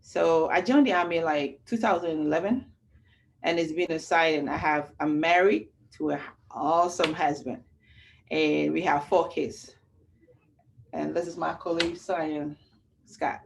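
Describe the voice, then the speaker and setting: A woman from Ghana, a sergeant and army recruiter, explaining the requirements for joining in plain, halting speech with many fillers. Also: sits low, fairly even intonation, creaky, a little hiss or hum. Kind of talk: informational talk.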